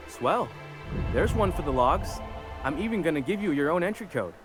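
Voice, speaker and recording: A man's voice: on the high side, animated, moderate pace, music in the background, natural voice. An actor playing an eager, chummy, rambling gatekeeper clerk in a video game, a man with a friendly manner. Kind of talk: video game character dialogue.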